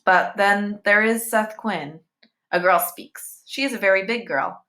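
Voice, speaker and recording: Bright-voiced woman reading a story aloud. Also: mid-range voice, a little slow. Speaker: reader of a children's novel aloud. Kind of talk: read-aloud storytelling with short asides to listeners.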